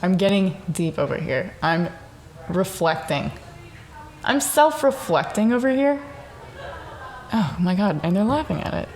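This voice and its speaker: A young woman with a fairly low voice talks on her own show. She rambles and mocks herself, jumping from one thought to the next. She is at home in a dark apartment. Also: very animated, a little slow, long pauses. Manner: rambling, self-mocking, jumps between thoughts. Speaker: podcast host. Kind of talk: rambling solo self-reflection monologue.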